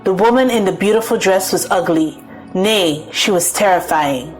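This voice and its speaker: A woman's voice: lowish voice, normal speed, rough recording. A woman storyteller giving scripted, dramatic narration of a folktale. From Caribbean. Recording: music bed behind the voice.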